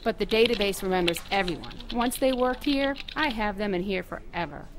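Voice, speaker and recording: An actress as a lively, chatty veteran nurse. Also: female voice, medium pitch, fairly quick, background noise, natural voice. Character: chatty, flirtatious veteran nurse in her early fifties. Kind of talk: audio drama dialogue.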